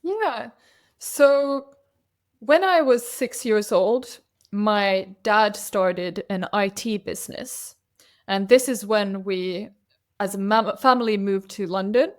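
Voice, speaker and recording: Woman, native Swedish speaker, podcast guest, chatty, anecdotal, medium pitch. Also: slow. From Finland. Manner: chatty and anecdotal, with frequent restarts. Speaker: podcast guest, CEO ghostwriter. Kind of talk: casual interview chat.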